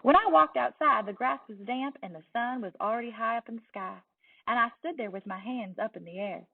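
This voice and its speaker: A woman with a Southern US accent giving an expressive storybook read-aloud as a teacher, telling it in a young girl's words with soft dips at phrase ends. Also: on the high side, fairly quick, gravelly. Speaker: teacher reading a picture book aloud. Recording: distant, tinny phone-like sound.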